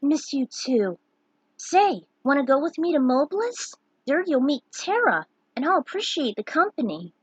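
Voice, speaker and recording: A woman's voice: high-pitched, big pitch swings, moderate pace, dry recording, voice pushed up, low-quality recording. A woman plays a young male would-be sky pirate in a fan story. He is caring and wistful, speaking in a close, dry recording. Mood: reassuring, eager.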